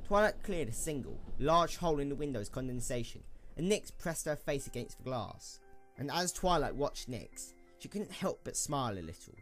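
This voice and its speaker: A man's voice: mid-range voice, big pitch swings, normal speed, a bit bright, faint music behind. A man reading scripted story narration as the narrator of an audio drama.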